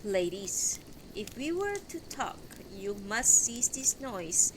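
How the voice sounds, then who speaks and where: A woman's voice: mid-range voice, very animated, slow, background noise. A woman voicing a proud, indignant elderly knight of Arthur's court in a storybook reading, speaking in a courtly, formal way. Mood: stern, courteous.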